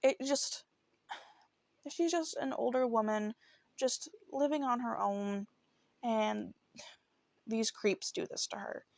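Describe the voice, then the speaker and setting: A woman's voice: a little high, slow, creaky, long pauses, no echo. A woman hosting a true crime show, telling a case in a chatty, informal, conversational way. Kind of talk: casual true crime narration.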